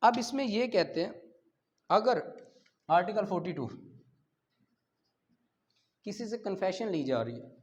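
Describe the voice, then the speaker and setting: A man's voice: sits high, darkish tone. A man with a Pakistani accent teaching law as a lecturer, explaining points didactically to students. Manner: didactic, checking students understand, mixing Urdu explanation with English legal terms. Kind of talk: classroom lecture, reading articles aloud then explaining. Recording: dull, lo-fi lecture recording.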